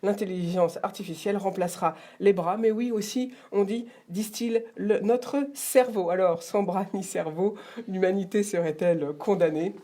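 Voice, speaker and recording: French woman host, radio show opener, lively rhetorical delivery. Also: mid-range voice, moderate pace. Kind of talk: radio show opening monologue.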